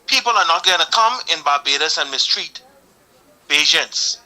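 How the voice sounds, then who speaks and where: A man's voice: fairly high pitch, expressive, normal speed, bright, forceful tone, low-quality recording. A Bahamian man calling in to a talk show, arguing earnestly and building up heat as he goes. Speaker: caller. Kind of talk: call-in opinion.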